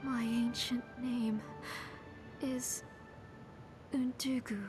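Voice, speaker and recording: A woman's voice: fairly high pitch, little pitch movement, extremely slow. A haunted, grieving mother. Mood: shaken. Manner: hushed, broken, whispering. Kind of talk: video game voice acting. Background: game score music.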